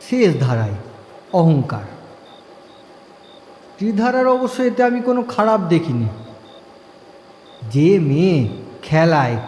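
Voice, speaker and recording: A man's voice: high voice, darkish tone, slight background noise. Chatty male storyteller in an echoey room. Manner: chatty, addresses listener, fond asides. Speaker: narrator. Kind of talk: first-person audio story narration. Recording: echoey room with long reverb.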